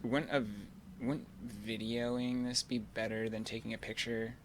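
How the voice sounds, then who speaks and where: A man's voice: medium pitch, flat delivery, moderate pace, lightly acted. A man making casual, hesitant, dryly wry remarks while playing a game. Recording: clearly noisy recording with clicks.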